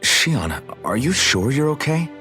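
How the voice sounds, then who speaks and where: An earnest young game hero, protective and anxious. His delivery is slow and halting but widely expressive, with faint music behind. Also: medium pitch. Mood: worried. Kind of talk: video game cutscene voice acting.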